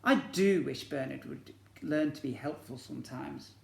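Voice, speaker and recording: A man's voice: mid-range voice, moderate pace, vocal fry, a little hiss or hum. A man voicing a firm but kindly mother hosting a child's party in a storybook reading. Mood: grateful. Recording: echoey room.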